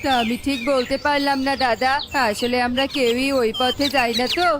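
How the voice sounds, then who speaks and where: A woman's voice: high voice, steady tone, moderate pace, noisy background, pitched below the performer's own voice, close to an everyday voice. A woman voicing a friendly, cautious male forest bird in a children's cartoon. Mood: friendly warning.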